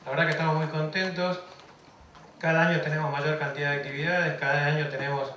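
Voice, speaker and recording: A man's voice: on the high side, little pitch movement, fast, bright, forceful tone. A middle-aged man with an Argentine accent, a university vice-dean giving an earnest, emphatic formal welcome speech that stacks phrases in lists. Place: large lecture hall. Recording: echoey hall from far off, faint noise.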